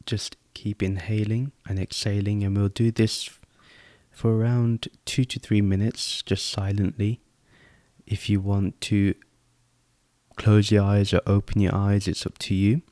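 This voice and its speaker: A man leading a guided meditation as host, giving calm, unhurried instructions with pauses. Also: lowish voice, dry recording.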